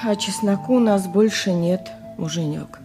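A woman's voice: medium pitch, moderate pace, over music, natural voice. A woman acting a poor, curt wife of a donkey driver in a radio play. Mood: sullen, weary.

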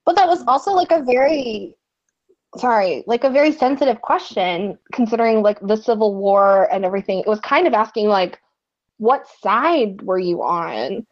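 A woman's voice: mid-range voice, expressive, normal speed, lo-fi sound. A woman co-hosting a podcast, asking questions casually with many fillers and thinking aloud. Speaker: podcast co-host.

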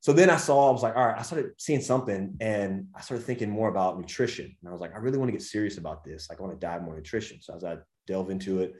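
A man in his twenties with an American accent, a vegan coach telling his story as an interview guest in a lively, slangy way. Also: lowish voice, quick pace, hardly any pauses, echoey room. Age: 20s. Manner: animated storyteller, slangy, says dude and bro. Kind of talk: casual podcast interview.

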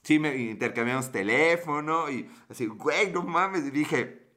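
A man's voice: a little high, lively intonation, a little slow, bright, forceful tone. Rambling Mexican man telling a story. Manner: rambling, slangy, laughs often, answers chat comments. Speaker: livestream host. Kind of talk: casual storytelling to live chat.

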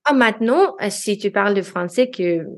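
A woman's voice: medium pitch, lively intonation, normal speed. Hesitant Russian-accented woman in her thirties. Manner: hesitant, many fillers and restarts. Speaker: guest. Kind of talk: casual interview chat in French.

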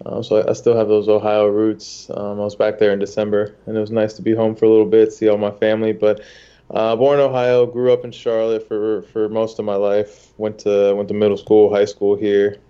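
A man's voice: fairly low pitch, monotone, fairly quick, dark tone, clean tone, highs cut off. A young man from the United States, a soccer goalkeeper, telling his story in a casual interview with many fillers and restarts.

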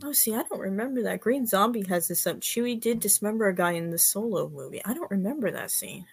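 A woman's voice: mid-range voice, animated, quick pace, gravelly, husky. A woman chatting casually as a guest on a podcast, in an informal conversational way. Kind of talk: casual chat.

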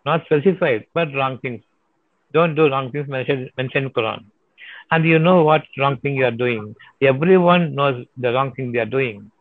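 A man's voice: mid-range voice, normal speed, no room sound. A man answering audience questions about prayer, emphatic and given to repeating himself and asking rhetorical questions. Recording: tinny phone-like dry sound.

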